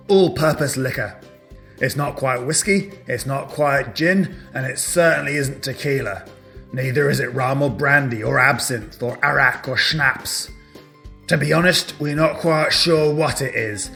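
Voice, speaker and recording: A man acting as a formal fantasy radio host, speaking in a measured, scripted way straight to the listeners. His voice sits at a middling male pitch, with music playing along behind him. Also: creaky, pushed and bright. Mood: salesman-like. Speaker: announcer and host of a fiction broadcast. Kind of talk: scripted in-character radio announcing with sponsor read.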